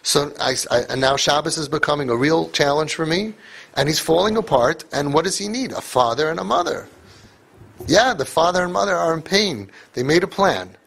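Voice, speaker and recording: A man's voice: a little high, lively intonation, moderate pace, gravelly, fairly bright tone, breathy edge. A man giving an emphatic motivational talk to parents, repeating phrases for force. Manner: emphatic, repeats phrases, mixes in Yiddish and Hebrew words. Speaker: speaker addressing parents.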